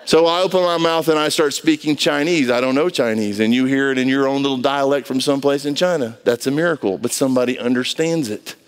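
A man's voice: mid-range voice, fast, few pauses, clear, resonant tone. A man from Brazil preaching in an emphatic, colloquial style, acting out bits of dialogue as he goes. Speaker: preacher, pastor. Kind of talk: animated conversational sermon.

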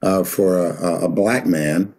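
Deep husky middle-aged man. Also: steady tone, dark tone. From Pittsburgh, Pennsylvania. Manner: halting, with many fillers and restarts. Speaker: guest, executive coach. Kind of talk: casual spoken interview.

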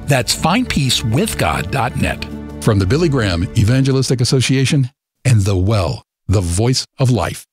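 A man's voice: medium pitch, sing-song, normal speed, soft background music. A man reading a radio promo in an announcing style.

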